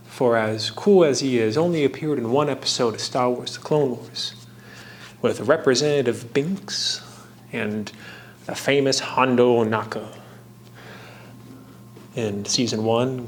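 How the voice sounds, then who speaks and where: Casual man narrating over clear background noise. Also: medium pitch, slow, long pauses. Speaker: host of a hobby craft video. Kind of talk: casual tutorial narration with fun facts.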